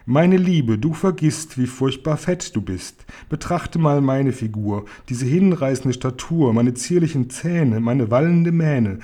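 A man's voice: mid-range voice, expressive, unhurried, hardly any pauses, warm tone. A man voicing a skinny, vain and cruel aunt in a storybook, haughty and cutting. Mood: haughty, mocking.

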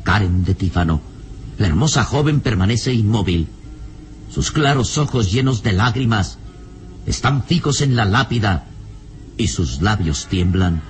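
A grave radio-drama narrator with a low, deep voice. He delivers poetic, florid narration deliberately, with faint music behind him. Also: expressive. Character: grave, poetic male narrator of eerie tales.

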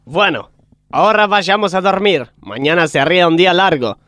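A man playing a mild, practical father in a parody radio play, deadpan and matter-of-fact. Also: sits high, moderate pace, no room sound, clean studio sound.

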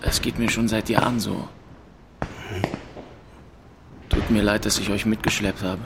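A man's voice: fairly low pitch, flat delivery, fairly quick, background noise, lightly acted. An actor playing a grieving young male student, narrating a German radio drama in the first person in short, introspective phrases. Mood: weary, subdued. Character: grieving, rootless 22-year-old male student, bitter and melancholy. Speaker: narrator and lead in an audio drama. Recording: music behind the voice. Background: music.

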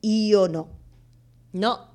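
An actress playing an anguished, embarrassed woman who confronts God in a theatrical radio monologue, halting and repeating her words insistently, blunt and exasperated. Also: mid-range voice, darkish tone. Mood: indignant, exasperated.

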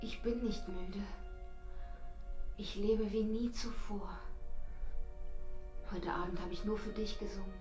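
A woman's voice: mid-range voice, steady tone, takes it slowly, pauses often, lightly acted. A woman playing a young opera singer in a radio drama, polite and emotional. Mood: fervent. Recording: echoey room with music behind.

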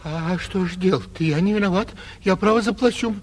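A man playing a vain, petulant, cowardly young gentleman in a 1940s theatrical radio play, whining and indignant in a husky voice, with long reverb on the old recording. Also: high voice, brisk. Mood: defensive whining. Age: young adult.

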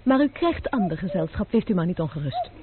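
A woman's voice: mid-range voice, very animated, a little fast, a crowd in the background, close to an everyday voice. An actress playing a stern, composed young female messenger in a radio play, with a commanding, urgent delivery. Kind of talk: vintage radio play acting. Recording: tinny lo-fi old radio broadcast.

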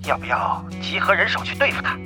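Hesitant guild sidekick, deferential, highish voice, music behind. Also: male voice, big pitch swings, quick pace. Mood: eager, compliant. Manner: tentative, deferential. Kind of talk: audio drama acting.

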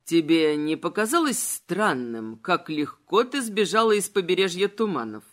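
Woman doing a cold manipulative immortal guy for an audiobook, dropped low, unhurried, mocking and silky insinuating. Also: sing-song, a bit bright, heavily acted. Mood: smug, insinuating.

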